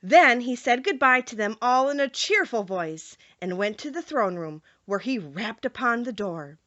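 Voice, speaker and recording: Female audiobook narrator for children's classics: high, lively, expressive, dry and slightly dull recording. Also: unhurried, no room sound. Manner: expressive, clearly paced storytelling. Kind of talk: scripted children's story narration.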